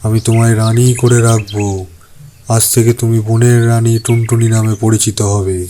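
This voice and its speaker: A man voicing a big, gentle elephant in a cartoon fable, speaking earnestly and politely, as if imploring. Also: fairly low pitch, level tone, normal speed, natural voice. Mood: grateful, insistent. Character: big gentle male elephant, pleading and grateful. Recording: clear background noise with birdsong.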